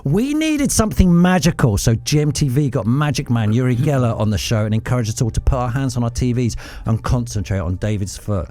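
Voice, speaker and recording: Mellow-voiced male quiz show host. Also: mid-range voice, lively intonation, brisk, hardly any pauses, no echo. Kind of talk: lighthearted football quiz hosting with banter.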